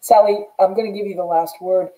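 A woman's voice: mid-range voice, steady tone, a little fast, dark tone, low-quality recording. A middle-aged American woman hosting an interview over a video call in an earnest, emphatic way. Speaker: show host. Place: indoors, plain room.

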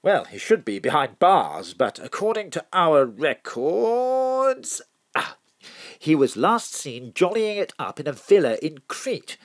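An actor in an audio drama playing a helpful, chatty police contact with a husky voice. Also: mid-range voice, big pitch swings, takes it slowly, exaggerated voice. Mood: musing, helpful.

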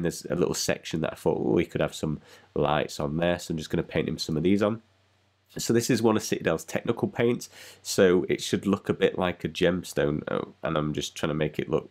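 Deep-voiced male tutorial host, congested from a cold, rambling and easygoing. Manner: rambling, self-deprecating, chatty. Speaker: miniature painting channel host. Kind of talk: casual painting tutorial voice-over.

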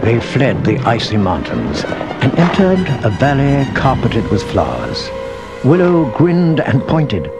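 A man's voice: medium pitch, huge pitch swings, slow pace. A man reading scripted storybook narration, with film score music and sound effects behind him. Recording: loud orchestral music under the voice.

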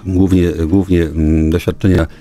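A middle-aged man with a very deep, dark voice gives formal answers in a small radio studio. He pauses often and stretches out his hesitations. Faint music plays behind him. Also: little pitch movement. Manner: halting, formal official speech with frequent hesitations. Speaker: local government official, guest. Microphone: studio boom microphone.

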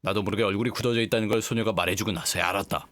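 A man's voice: fairly low pitch, steady tone, racing, a bit bright. A man hosting a live game stream, playfully mixing casual chat with reading the story aloud. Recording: music playing behind the voice.

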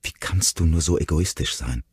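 Ein Mann spricht eine junge Frau, verletzt und wütend, mit schrillen, flehenden Ausbrüchen. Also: male voice, moderate pace, slightly rough, no room sound, studio quality, exaggerated voice. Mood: upset. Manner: shrill, pleading outbursts. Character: young woman, hurt and furious.

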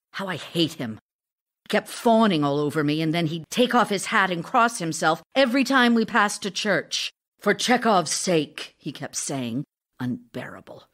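An actress playing a devoted, anxious wife in an audio drama, voicing letters to her absent husband in an intimate, earnest, expressive way. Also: fairly low pitch. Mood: exasperated. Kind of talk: audio drama acting, reading love letters aloud.

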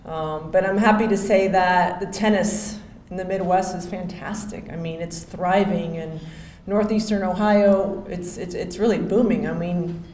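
A woman in her forties stands at a podium microphone and delivers an acceptance speech. She talks in a chatty way, with fillers and restarts. Her slightly low voice carries through a reverberant hotel ballroom over a faint hum. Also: fairly even intonation, a little slow. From Miami, Florida. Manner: chatty, warm thank-yous with many fillers and restarts. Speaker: hall of fame inductee.

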